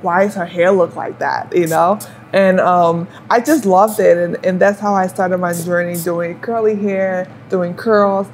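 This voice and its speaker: A woman hairstylist from Liberia talks through her work in a relaxed, conversational way, often checking in with "you know" and "right." A faint hiss sits under the recording. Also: lowish voice, measured pace. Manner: warm storytelling with fillers and rhetorical "you know". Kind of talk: casual chat while styling hair.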